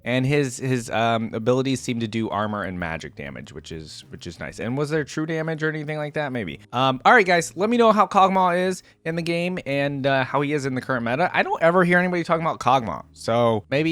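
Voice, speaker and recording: A man's voice: mid-range voice, dry recording. Chatty man reacting with curiosity. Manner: curious, chatty, many likes and ums, laughs often. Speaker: gaming reaction channel host. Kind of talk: casual reaction commentary.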